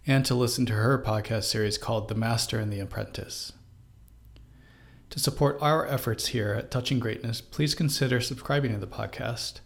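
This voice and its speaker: A man hosting a podcast, reading a scripted closing in a warm, grateful and measured way. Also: medium pitch. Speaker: podcast host.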